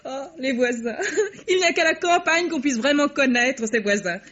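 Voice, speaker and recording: A woman's voice: high voice, animated, normal speed, a bit bright. An actress playing a theatrical, effusive middle-aged society mother in an old French radio drama. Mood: gushing. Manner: effusive, affected, exclaiming. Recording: dull vintage radio recording.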